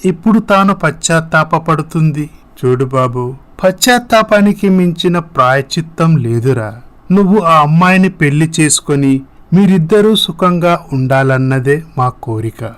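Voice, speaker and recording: A man performs a benevolent middle-aged father in a moral-story drama. He speaks in a measured way, reassuring and advising with a fatherly kindness. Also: fairly high pitch, expressive, close to an everyday voice. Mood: persuading. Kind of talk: scripted moral-story voice acting.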